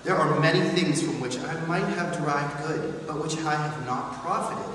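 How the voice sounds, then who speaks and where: A warm-hearted, cheerful nephew played by a man in a staged reading. The voice is fairly high and earnest, declaiming with feeling. It carries from a distance through a reverberant hall with faint noise. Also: moderate pace, lightly acted. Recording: distant, echoey hall, some hiss.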